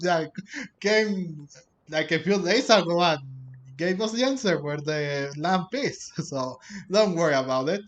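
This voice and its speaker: A man giving casual game commentary as he plays, rambling and halting as he talks. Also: on the high side, highs cut off. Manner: rambling, halting, frequent chuckles. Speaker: gaming streamer playing a story quest.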